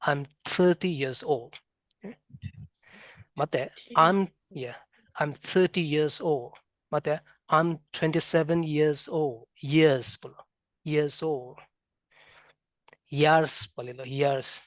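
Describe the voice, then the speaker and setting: A man with a Karbi accent teaching an English class, drilling words and sounds slowly and having students repeat. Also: on the high side, no room sound. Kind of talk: classroom lesson, mixing local language and English.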